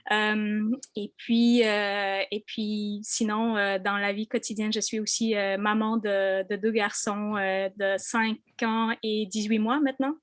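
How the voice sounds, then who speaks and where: A woman's voice: medium pitch, level tone, takes it slowly, hardly any pauses, no echo. A woman artist talking personally and off the cuff as a panel guest, hesitant and searching for her words. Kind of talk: personal unscripted talk.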